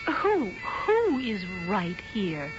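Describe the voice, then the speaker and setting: An actress playing an imperious young British fiancée in a radio drama, clipped and theatrical. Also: female voice, medium pitch, huge pitch swings, very slow, noisy background, exaggerated voice. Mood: haughty curiosity. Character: haughty, imperious young English fiancée, exasperated by ghosts. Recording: dull vintage radio drama recording.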